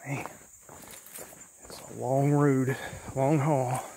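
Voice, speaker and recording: A man talks to his camera while walking a long overgrown back road, his voice steady and flat with little rise or fall. He rambles loosely and sounds a little worn from the walk, and faint insects buzz in the open air behind him. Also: mid-range voice, very slow, slight background noise. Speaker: vlogger exploring an abandoned road. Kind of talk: casual walking vlog. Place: outdoors on an overgrown country road. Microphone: handheld or body camera while walking. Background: faint insects and rural ambience.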